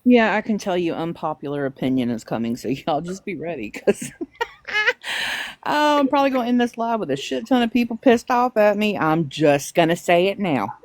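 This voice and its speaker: A woman's voice: on the low side, big pitch swings, normal speed, darkish tone, no echo. A middle-aged woman hosting a casual livestream, chatting and talking to her viewers as she goes. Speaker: livestream host.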